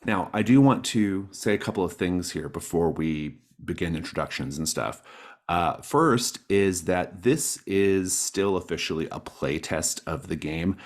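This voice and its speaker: Deep voiced guy running an online tabletop game, casual intro chat. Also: unhurried. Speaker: game master and host. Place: online group call.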